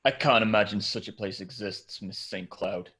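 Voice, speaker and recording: A man's voice: lowish voice, normal speed, pushed and bright, husky. A man in a script table read, playing a terse, brooding masked hero with a clipped, dry, commanding manner. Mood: dry, courteous.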